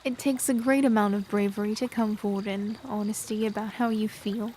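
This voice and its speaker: An actress playing a reserved young woman who speaks in a calm, measured, formal way. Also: mid-range voice, slight background noise, natural voice. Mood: calm, consoling. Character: reserved young woman, later a composed queen. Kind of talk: audio drama acting.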